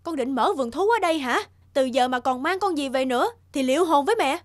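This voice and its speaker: A woman's voice: sits high, animated, quick pace. An actress playing a strict, exasperated young mother in a cartoon dub, with a scolding manner. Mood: scolding, annoyed. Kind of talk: animated film dubbing. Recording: loud background music. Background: music.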